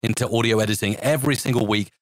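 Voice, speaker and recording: A man guest on a podcast who teaches audio editing, talking quickly and laughing easily. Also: lowish voice, no room sound. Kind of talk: casual interview talk.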